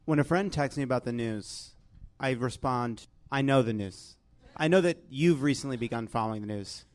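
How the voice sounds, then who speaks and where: A man's voice: medium pitch, moderate pace, a little mellow. An American man hosting a live comedy panel show, joking in a wry, sarcastic way. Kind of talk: joking panel chat before a live audience.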